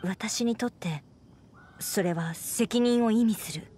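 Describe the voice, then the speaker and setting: A woman's voice: on the high side, slow, dark tone, a little hiss or hum. A woman playing a dutiful young knight commander, formal and composed, in a Japanese anime game. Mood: earnest, reverent. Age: young adult.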